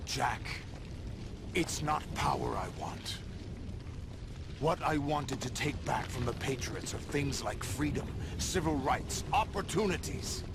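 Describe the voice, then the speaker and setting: An actor playing a grave, middle-aged revolutionary villain in a video game cutscene, speaking deliberately and with impassioned conviction in a gravelly voice. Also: male voice, on the high side, heavy background noise. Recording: loud music under the voice.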